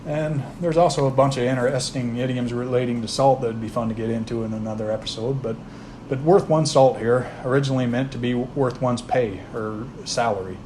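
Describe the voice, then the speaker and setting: A man's voice: medium pitch, fairly quick, rough recording. Guy explaining where phrases come from, laid back, lots of uh's, some noise in the background. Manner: relaxed, thoughtful, frequent "uh" fillers and restarts. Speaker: host explaining word origins. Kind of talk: casual spoken lesson.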